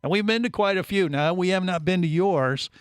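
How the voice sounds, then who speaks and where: A man's voice: sits high, a little fast, clear, resonant tone, no echo. A man hosting a casual radio interview in a studio, rambling with many fillers and restarts. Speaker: radio show host.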